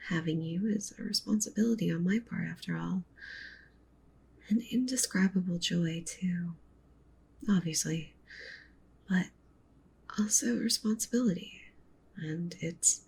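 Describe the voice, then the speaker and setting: Woman voicing yandere wolf-witch girlfriend, clingy and tender, whispered ASMR, lowish creaky murmur, faint noise behind. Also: darkish tone. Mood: possessive, devoted. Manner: intimate, tender, clingy, coaxing. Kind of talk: ASMR roleplay, soft whispering.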